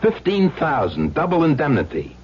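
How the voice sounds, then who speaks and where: An actor playing a sly, crooked ex-husband who talks in slangy, menacing taunts in an old radio drama. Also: male voice, a little high, huge pitch swings, normal speed, a little hiss or hum. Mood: taunting, menacing. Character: crooked ex-husband back from presumed death, sly blackmailer. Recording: dull old-time radio recording.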